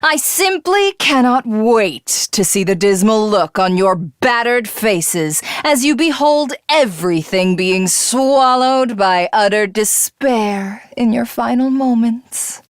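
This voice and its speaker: Haughty, high-pitched alien villainess. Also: female voice, expressive, no echo, clean studio sound. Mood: sinister amusement. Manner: haughty, theatrical, drawn-out taunting delivery. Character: arrogant, sadistic alien warrior woman craving godhood. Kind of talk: dramatic video game villain acting.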